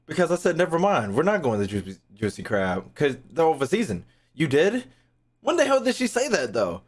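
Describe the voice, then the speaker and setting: A woman's voice: deep voice, sing-song, a little fast. A woman streamer giving casual reaction commentary on a video game, talking in a blunt, slangy, profane way.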